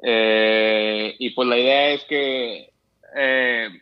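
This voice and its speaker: A man's voice: mid-range voice, steady tone, very slow, clear, resonant tone, muffled recording. A man from Matamoros with a northern Mexican accent, chatting casually and colloquially as a chef guest, often adding tag questions. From Matamoros, Tamaulipas, Mexico. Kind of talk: casual anecdotal chat.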